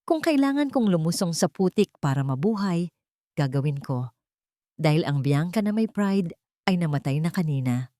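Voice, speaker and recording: A woman's voice: fairly low pitch, big pitch swings, moderate pace, dark tone, no room sound, clean studio sound. A woman narrating a scripted first-person audio drama in an emotive, theatrical manner.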